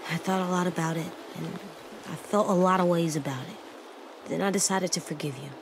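A woman voicing a blunt, guarded little boy survivor in a video game, speaking in terse, halting lines. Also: fairly low pitch, fairly even intonation, background noise, close to an everyday voice. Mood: earnest, forgiving. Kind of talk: naturalistic video game dialogue acting. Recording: faint outdoor stream ambience.

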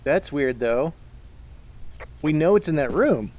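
A man's voice: a little high, lively intonation, slow, highs cut off. A man streaming a co-op horror game with casual, joking commentary and some swearing. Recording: loud game ambience noise. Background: horror game sounds.

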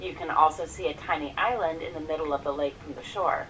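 A woman narrates a first-person account in a calm, scripted read with an even delivery. Her voice sits somewhat low and comes across a little distant. Faint noise runs under the playback. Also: fast. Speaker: story narrator. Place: played from an animated video. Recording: distant, slightly noisy playback.

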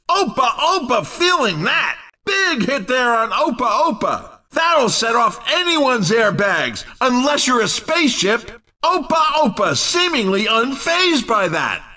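A man giving excited video game race commentary in punchy, exclamatory short calls. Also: extremely high pitch, unhurried, distant mic.

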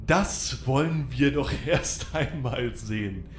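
A man's voice: mid-range voice, takes it slowly, a little hiss or hum, lightly acted. A man playing a pushy, condescending FBI agent interrogating a suspect in an audio drama. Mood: dismissive, smug. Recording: roomy, echoey voice recording.

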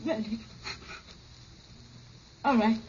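Devoted wife, slow and high-voiced. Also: female voice, a little mellow. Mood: tender resigned. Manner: earnest, halting, dramatic. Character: tender, devoted young wife facing death. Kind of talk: 1950s radio drama acting. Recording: muffled, slightly noisy old radio recording.

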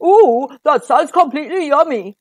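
A woman voicing a greedy, hungry troll who chants his demands in a loud sing-song. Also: high-pitched, animated, moderate pace. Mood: eager.

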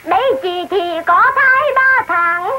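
A woman reciting poetry in a drawn-out, melodic chant on an old film soundtrack. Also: very high voice, very noisy. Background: loud music.

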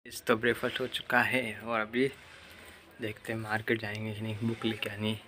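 A man's voice: fairly low pitch, completely flat delivery, fairly quick, bright, forceful tone. A young man chatting casually to his phone as a vlogger, in a handheld recording. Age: young adult. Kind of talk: casual vlog chat to camera. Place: at home, moving between rooms, rooftop and yard. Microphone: handheld phone at arm's length. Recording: noisy handheld recording with ambient sound.

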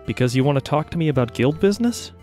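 A man's voice: fairly high pitch, expressive, fast talker, darkish tone, soft background music, voice pushed up, natural voice. A man voicing a caring, protective, direct male mage in an audio fiction drama. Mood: concerned.

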